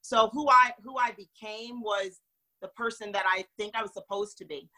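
A woman from North Carolina speaks as an interview guest, her voice bright and clear. She tells her story earnestly and expressively, with an easy laugh. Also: fairly high pitch, steady tone, normal speed. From North Carolina, raised in North Florida. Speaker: guest, magazine founder.

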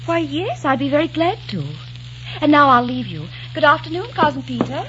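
An actress playing a sweet, earnest young English lady of the eighteenth century in a 1940s radio drama, polite and gentle. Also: high-pitched, lively intonation, normal speed. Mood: earnest, curious. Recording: dull, hissy 1940s radio recording.

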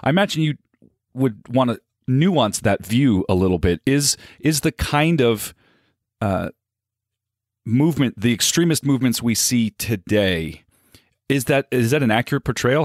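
A man hosting a radio interview show, introducing the guest and asking measured, articulate questions. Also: medium pitch, animated, no echo, studio quality.

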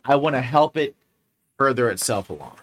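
A man co-hosting a casual podcast, talking through his thoughts out loud. He rambles, restarts his sentences and swears freely, in a relaxed everyday tone. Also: mid-range voice, moderate pace. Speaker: podcast co-host. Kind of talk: casual chat.

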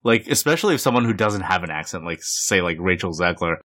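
A man's voice: deep voice, lively intonation, quick pace, fairly bright tone. A man co-hosting a film podcast in easygoing chat, steering the talk with questions. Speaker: podcast co-host.

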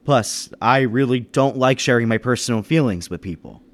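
A man's voice: medium pitch, normal speed, lightly acted. A man playing a reserved businessman and war veteran who narrates a 1930s-style radio mystery in a guarded, matter-of-fact way. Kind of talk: 1930s radio drama acting with first-person narration. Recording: music bed under the voice.

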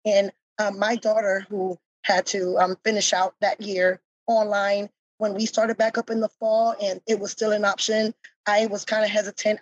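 A mother on a discussion panel talks with earnest gratitude, pausing often to find her words. Her voice is slightly breathy, and the sound is dry and close, with no room around it. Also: mid-range voice, no room sound, lo-fi sound. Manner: earnest, grateful, thinks aloud with pauses. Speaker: parent panelist.

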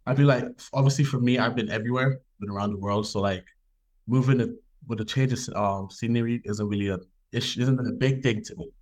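A young man from Ireland answering interview questions in a relaxed, laid-back way, with many ums. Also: mid-range voice, fairly quick. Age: young adult. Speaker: guest football player.

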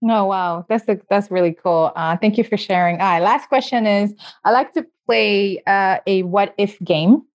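A woman's voice: mid-range voice, normal speed, few pauses. A woman hosting a podcast, asking long, rambling questions in a chatty way and laughing often, with a slightly dull sound. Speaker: podcast host. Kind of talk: casual interview hosting.

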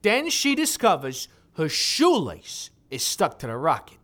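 A cheeky, lazy young sidekick in a comedy audio drama. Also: female voice, low-pitched, wildly swooping pitch, measured pace, a bit bright, heavily acted. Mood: eager.

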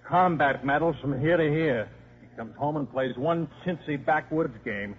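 A man's voice: fairly high pitch, normal speed, natural voice. An actor playing a cynical, combative American football coach and father, slangy and sardonic, in a 1950s radio drama. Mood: bitter, resigned. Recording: dull, noisy 1950s radio recording.

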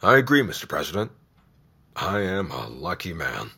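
A man's voice: deep voice, big pitch swings, moderate pace, creaky, bright, forceful tone, breathy edge, faint music behind, heavily acted. An actor playing a humble, honorable male army sergeant who speaks earnestly and respectfully. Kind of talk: audio drama acting.

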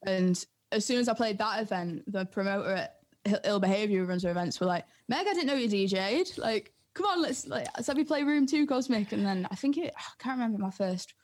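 A young woman with a Geordie accent speaks in a mid-pitched voice. She chats as a guest in an interview, telling stories in loose, slangy, informal language. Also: expressive, brisk, a little mellow. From North East England. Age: young adult. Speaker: DJ and music producer being interviewed. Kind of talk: casual chat.

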